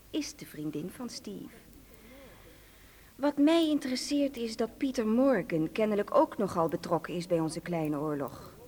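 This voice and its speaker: A woman acting a cool female conspirator in a radio drama. Her voice sits at a middle pitch, and she reasons things out with analytical self-assurance. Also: a little mellow, lightly acted.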